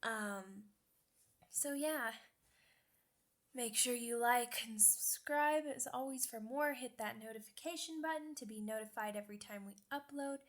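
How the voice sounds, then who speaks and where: A woman hosting her channel with a casual, offhand, low-key intro. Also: measured pace. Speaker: channel host.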